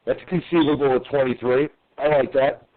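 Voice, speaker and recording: A man, co-hosting a casual sports podcast, animated, swearing and tripping over his words. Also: medium pitch, moderate pace. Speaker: podcast co-host. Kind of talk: casual sports call-in chat. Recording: tinny phone-like line.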